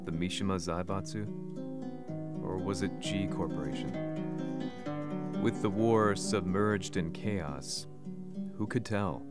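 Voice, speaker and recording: Weary reporter telling his war story, deep somber voice, loud music with plucked guitar behind. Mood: somber, reflective. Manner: somber, measured, reflective. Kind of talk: scripted game story narration.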